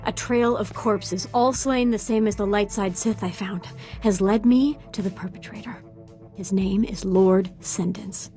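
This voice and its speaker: An actress playing an eager, zealous young Sith apprentice in a video game, intense and articulate. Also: female voice, mid-range voice, a little mellow, lightly acted. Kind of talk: video game dialogue acting.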